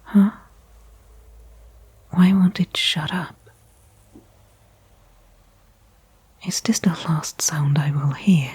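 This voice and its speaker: A woman playing a weary stranded astronaut in a whispered ASMR sci-fi roleplay, breathy and hushed, thinking aloud with pauses between thoughts. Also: low voice, exaggerated voice. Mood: anxious, resigned. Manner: slow, soliloquizing, pausing between thoughts. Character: weary stranded female astronaut, anxious, faintly hopeful.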